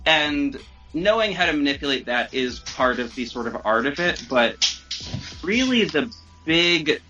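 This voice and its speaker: A man's voice: a little high, big pitch swings, measured pace, highs cut off, over music. A man chatting casually as a podcast guest who makes audio drama, rambling in an informal, self-deprecating way. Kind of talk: casual chat.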